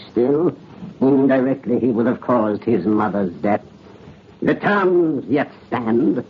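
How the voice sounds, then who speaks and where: Gloating, cruel revolutionary villain, unhurried mocking delivery, old radio horror style, muffled noisy recording. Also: male voice, mid-range voice, lively intonation. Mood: cruel mockery. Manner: mocking, sinister chuckling, deliberate. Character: gloating, cruel male revolutionary butcher commander. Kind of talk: old-time radio horror drama acting.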